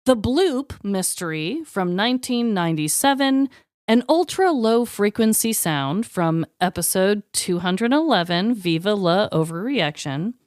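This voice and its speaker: Female podcast co-host, very lively intonation, emphatic and informal, swears freely, dry studio-tight sound. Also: mid-range voice, no echo. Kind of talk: casual chat.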